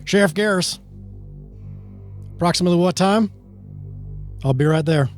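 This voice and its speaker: A man playing a gruff, higher-pitched stern sheriff. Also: moderate pace, soft background music, close to an everyday voice. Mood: stern, grave. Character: gruff, foul-mouthed, stern small-town sheriff and father. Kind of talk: amateur radio play reading.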